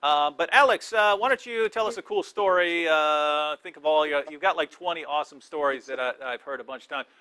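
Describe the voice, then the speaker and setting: A man hosting an interview show, teasing his guest and halting often over his words. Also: fairly high pitch, sharp tone. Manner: joking, halting, restarts often. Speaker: host.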